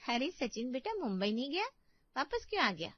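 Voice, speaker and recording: Woman plays protective middle-aged cartoon mother, fussy, blunt, raised pitch, dry dulled recording. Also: big pitch swings, normal speed, no room sound, muffled recording. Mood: fussing. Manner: exclaiming, fussing.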